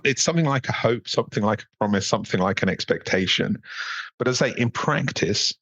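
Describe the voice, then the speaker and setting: Husky low-voiced man, slightly muffled sound. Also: animated, brisk, a bit bright, no room sound. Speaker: research funder, interviewee. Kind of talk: conversational interview answer.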